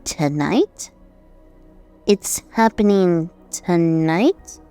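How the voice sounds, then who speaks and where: A woman playing a calm, unfazed, supportive female pony friend in a cartoon audio drama, over music. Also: on the low side, extremely slow, clear, resonant tone. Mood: calm, questioning. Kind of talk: animated audio drama acting.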